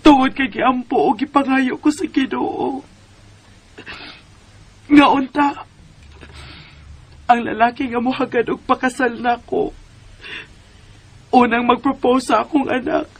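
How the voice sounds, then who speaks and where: Radio drama single mom, female, Cebuano accent: high-pitched, husky, emotional, music underneath. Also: steady tone, slow pace, long pauses, exaggerated voice. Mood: tearful, grateful. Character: emotional, devout single mother being courted. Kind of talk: Bisaya radio drama acting.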